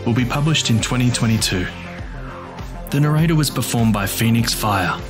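A man reading a scripted podcast outro and credits as the announcer. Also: medium pitch.

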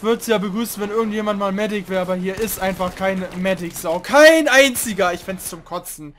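A young man hosting a casual let's play, chatting and grumbling loudly about teammates as he plays. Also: high-pitched, brisk, slight background noise. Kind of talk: casual gaming commentary.

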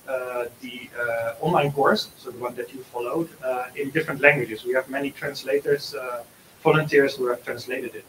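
A man answering questions as an author and teacher in a book discussion, often pausing mid-sentence. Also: mid-range voice, a bit bright. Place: room with an audience, on a video call. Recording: roomy, distant mic with faint hiss.

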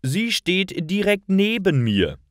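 A man plays a puzzled, amused storybook husband. He speaks with emphatic disbelief and lively swings in pitch. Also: slow pace, no room sound, studio quality, heavily acted.

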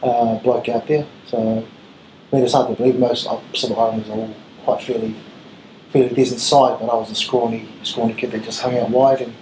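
A man in his thirties with a New Zealand accent, a rugby head coach giving earnest, measured answers in an interview. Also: medium pitch, flat delivery, darkish tone. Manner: earnest, measured, with filler ums. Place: small room with gray wall, seated at table. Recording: roomy echo, faint room hiss.

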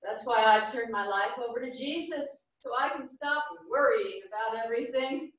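A woman's voice: on the high side, normal speed, a bit bright, natural voice. A woman playing a devout, easily confused older lady in a staged play reading. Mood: baffled. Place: small theatre stage, indoors. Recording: echoey theatre, distant, faint room noise.

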